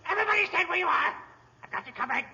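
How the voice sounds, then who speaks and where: A shrill, bossy old hermit in a vintage radio comedy. The voice is extremely high, sharp and creaky, with frantic commands on a dull old recording. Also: male voice, lively intonation, rapid-fire, slight background noise, heavily acted. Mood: frantic, commanding. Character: excitable bearded old male hermit with a gun. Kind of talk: 1930s radio comedy acting.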